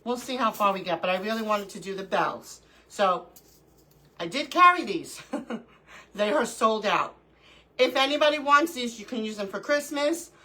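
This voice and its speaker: A woman's voice: on the high side, animated, normal speed, pushed and bright. A middle-aged woman hosting a casual craft livestream, stuffy and sniffling from allergies, chatting with viewers and greeting them by name. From New York.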